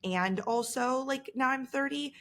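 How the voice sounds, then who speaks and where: A woman's voice: high-pitched, unhurried, fairly bright tone. A woman in her early thirties co-hosting a podcast, telling candid, self-deprecating stories in casual chat. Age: 30s. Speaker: podcast co-host.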